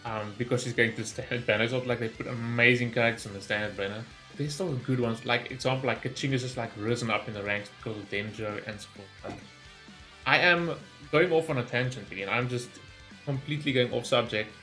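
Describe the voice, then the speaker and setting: A man giving casual, excitable and rambling reaction commentary as host of a gaming video. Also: medium pitch, fast, soft background music.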